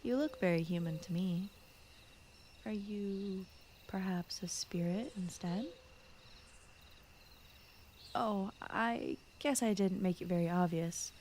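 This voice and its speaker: Slow, hushed fox spirit voiced by a woman. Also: mid-range voice, slight background noise, lightly acted. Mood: gentle, curious. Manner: soft-spoken, often slipping into whispers, rambling, apologetic. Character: gentle female fox spirit, shy forest guardian, soothing. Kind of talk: ASMR roleplay, bedtime storytelling. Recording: clear night cricket and forest ambience. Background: crickets, insects, night forest ambience.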